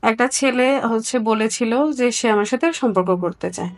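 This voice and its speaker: Bangladeshi woman being interviewed, slightly high voice, candid and reflective, repeats bits for emphasis. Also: moderate pace. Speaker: interview guest. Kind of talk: personal, conversational interview answers.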